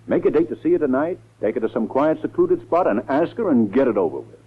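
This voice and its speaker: A man's voice: medium pitch, expressive, fast talker, husky. An actor playing an authoritative, scheming male lawyer in a 1940s radio drama, speaking firmly and insistently. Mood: firm urging. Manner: firm, insistent, commanding. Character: scheming, authoritative lawyer urging and pressuring others. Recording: muffled, thin 1940s radio recording.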